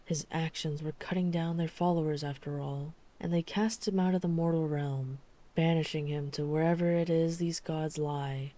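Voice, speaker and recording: A dry-witted vampire hunter played by a woman from an urban fantasy audio roleplay. Her voice is very low for a woman and softly dark. She tells things deliberately, like someone guarded who is confiding in a friend. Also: steady tone, close to an everyday voice. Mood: grave, wry. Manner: measured, deliberate storytelling with dry asides.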